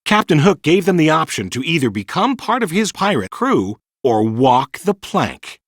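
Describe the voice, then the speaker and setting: A man reading a children's storybook in an animated, dramatic storytelling manner. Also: fairly high pitch, moderate pace, dry recording, studio quality. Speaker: storybook narrator. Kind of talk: scripted children's storybook narration.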